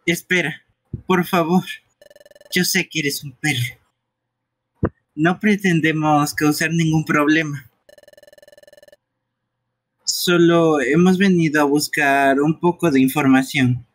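Guy dubbing a game on stream, kinda teasing, slow and husky, pretty dry sound. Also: on the high side, fairly even intonation, no echo, poor audio. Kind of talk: live amateur dubbing of game dialogue with joking asides.

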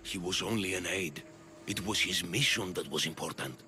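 An actor playing a guarded, shrewd spymaster in a video game, measured and wary in manner. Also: male voice, deep voice, sharp tone, faint music behind. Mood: wary, authoritative. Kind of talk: video game dialogue acting.

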